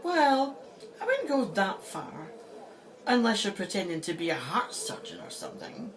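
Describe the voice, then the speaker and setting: Spirited female Egyptologist actress, mid-pitched but very animated, wry and colloquial, audio drama. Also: normal speed. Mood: wry, chatty. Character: feisty, indignant woman Egyptologist, wry and plain-spoken.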